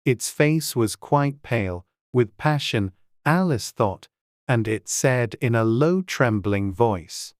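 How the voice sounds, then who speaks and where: A woman's voice: extremely low pitch, animated, takes it slowly, no echo, studio quality. A woman reading scripted storybook narration as an audiobook narrator.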